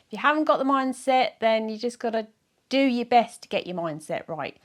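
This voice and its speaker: A middle-aged woman with a croaky, sore-throated voice, chatting casually to camera in a rambling, giggly, self-mocking way. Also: fairly high pitch, animated, a little fast. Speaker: weight-loss vlogger.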